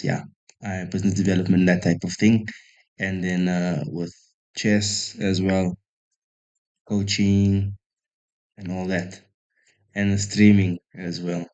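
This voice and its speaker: A man's voice: deep voice, fairly even intonation, takes it slowly, pauses often, a little mellow. A man from South Africa streaming chess, thinking aloud and chatting casually with viewers in a self-deprecating way. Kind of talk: casual live-stream commentary.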